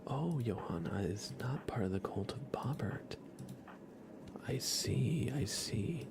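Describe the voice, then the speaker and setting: A soft-spoken man hosting a relaxed ASMR gaming livestream, often dropping into a whisper. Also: medium pitch, slow. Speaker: livestream host.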